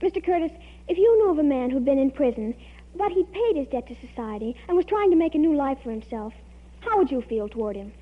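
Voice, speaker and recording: Eager, high-voiced teenage girl. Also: animated, quick pace. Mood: earnest, flustered. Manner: gushing, earnest. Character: eager, meddling teenage girl, dramatic and naive. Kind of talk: 1940s radio comedy acting. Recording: dull old radio recording.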